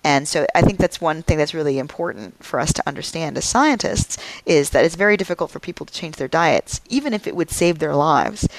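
A woman's voice: low voice, no echo, rough recording. A woman being interviewed as a guest on a podcast, explaining her research in a thoughtful, articulate way. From United States.

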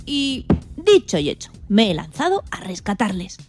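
A woman's voice: medium pitch, normal speed, soft background music, lightly acted. A woman playing a brave little captured guinea pig who tells its misadventure expressively in a children's radio play. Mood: dismayed. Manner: expressive, dramatic storybook delivery.

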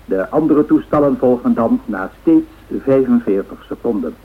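A man's voice: medium pitch, animated, normal speed, breathy edge. A man playing a formal newsreader who delivers a scripted, factual radio bulletin. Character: formal radio newsreader reporting on an air race. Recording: muffled, tinny old radio broadcast sound.

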